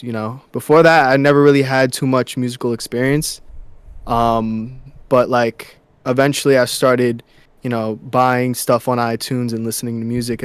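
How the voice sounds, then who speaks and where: A young man from New York, a musician guest in a casual interview, talking softly and haltingly with many fillers and restarts. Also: mid-range voice, smooth, no room sound.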